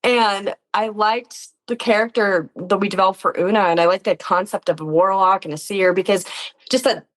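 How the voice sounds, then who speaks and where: A woman's voice: mid-range voice, steady tone, moderate pace. A woman chatting casually as an interview guest, informal and self-effacing. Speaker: interview guest, tabletop game performer. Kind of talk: casual chat.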